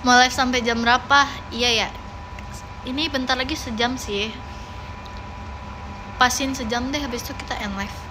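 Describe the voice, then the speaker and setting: A woman's voice: a little high, steady tone, normal speed, long pauses, noisy background. A woman of nineteen, chatting casually and playfully on a livestream, often repeating words as she answers viewers. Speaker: idol livestream host.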